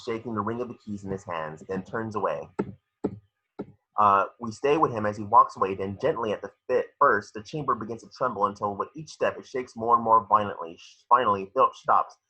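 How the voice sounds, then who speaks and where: Forceful, husky man narrating a screenplay. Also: lowish voice, muffled recording. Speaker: stage directions narrator. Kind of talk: dramatic screenplay read-through.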